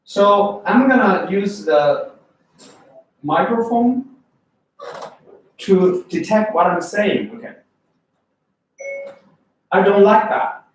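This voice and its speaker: Man, Chinese accent, lecturing, fairly high voice, echoey room, from far off. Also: slow, pauses often, slight background noise. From China. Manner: lively, asks students questions often. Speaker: English test tutor. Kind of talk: classroom lecture. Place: classroom.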